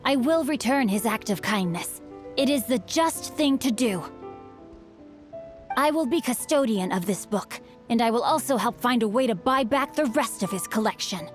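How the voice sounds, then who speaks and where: A woman's voice: a little high, expressive, moderate pace, natural voice. A woman voicing an earnest, bookish young male swordsman in a video game, speaking in formal, courtly language and quoting proverbs. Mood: resolute. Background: soft game music.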